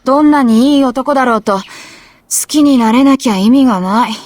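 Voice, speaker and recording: A bold tomboyish high school girl voiced by a woman, speaking quickly in a fairly high voice. She is a confident, competitive anime game rival who talks brashly and casually. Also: darkish tone, natural voice. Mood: teasing, cocky. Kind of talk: anime visual novel voice acting in Japanese.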